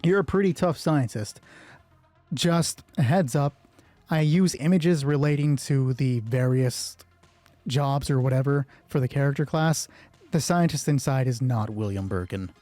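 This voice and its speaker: A man's voice: medium pitch, measured pace, warm tone, no echo, soft background music. A man casually narrating an improvised solo roleplay game, thinking aloud and swearing offhandedly.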